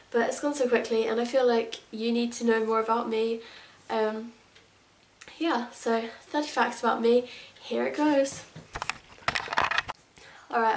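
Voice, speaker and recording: A woman in her twenties from England, chatting casually to camera as a vlogger, rambling with lots of fillers. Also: on the high side. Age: 20s. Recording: echoey room, mic at a distance.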